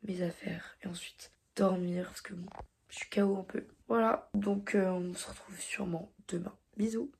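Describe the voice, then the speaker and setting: Stuffy-nosed teen girl, mellow. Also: mid-range voice, fairly even intonation, normal speed, vocal fry, slight background noise. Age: late teens. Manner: chatty, slangy, sometimes half-whispered. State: congested with a cold. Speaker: vlogger. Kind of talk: casual diary vlog chat. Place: bedroom at home. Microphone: phone camera.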